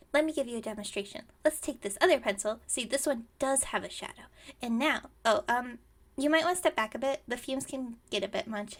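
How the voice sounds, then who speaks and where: Woman as scatterbrained young witch running a shop: high voice, theatrical salesmanship, chatty rambling, ASMR roleplay. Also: lightly acted. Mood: eager, salesy. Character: flustered, dramatic, scatterbrained young female witch shopkeeper.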